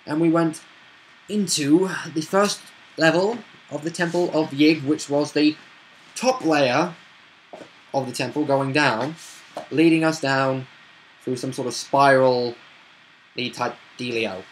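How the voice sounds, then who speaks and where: A man casually recapping a tabletop roleplay session as one of its players, in a rambling, halting, unscripted way. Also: a little high, a little hiss or hum, poor audio.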